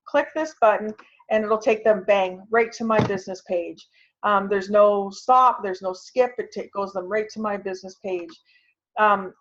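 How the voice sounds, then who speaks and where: Casting: female online instructor, mid-range voice, informal and conversational, heard through a dull lo-fi video call. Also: medium pitch. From Canada. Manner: conversational, thinking aloud as she demonstrates. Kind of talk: informal tutorial with screen sharing. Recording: muffled, lo-fi video call audio.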